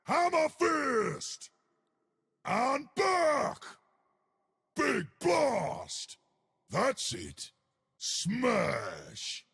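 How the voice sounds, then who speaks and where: An enormous ancient stone colossus from a video game, a cheerful brawler full of hearty, simple bravado. The voice is very husky and gravelly, with an unhurried delivery. It swings hugely in pitch. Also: male voice, wildly swooping pitch, fairly bright tone, exaggerated voice. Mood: battle shouting. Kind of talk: video game character voice lines.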